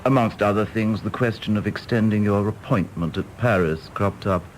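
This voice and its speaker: An actor playing a tactful, evasive English senior civil servant, polite and hesitant, in a period radio drama. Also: male voice, lowish voice, normal speed, slight background noise, close to an everyday voice, low-quality recording. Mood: awkward, evasive. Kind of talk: period stage-play radio drama acting.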